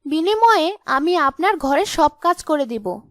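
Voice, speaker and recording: A woman's voice: high-pitched, sing-song, a little slow, fairly bright tone, no echo, studio quality, heavily acted. A woman voicing a polite, pleading young woman, a witch in disguise in a folk tale. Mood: meek, pleading.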